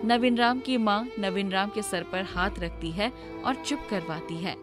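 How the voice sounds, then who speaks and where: A woman's voice: medium pitch, a little slow, over music. A woman reading scripted storybook narration as the storyteller.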